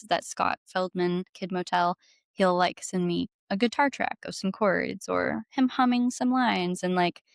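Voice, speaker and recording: A woman with a gravelly, fairly low voice talks as a guest in a casual interview. She is effusive and informal, often dropping "like" into her sentences. The sound is dry and close. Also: dry recording. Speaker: interview guest, singer-songwriter. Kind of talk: casual chat.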